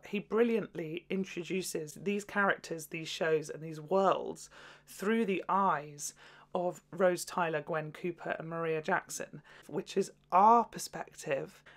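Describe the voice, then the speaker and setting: A woman hosting a casual, chatty video review, gushing and jokey with sweary asides. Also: on the low side. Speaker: video host.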